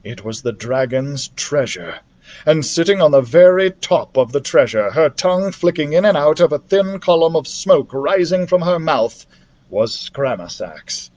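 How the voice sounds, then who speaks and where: A man reading a children's adventure novel aloud as a library storyteller, in an expressive narrative style. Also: on the high side, unhurried, highs cut off.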